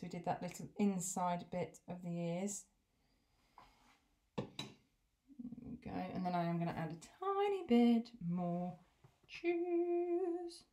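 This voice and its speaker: A young woman teacher in her home kitchen, gently explaining each cooking step to children as she works. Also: medium pitch.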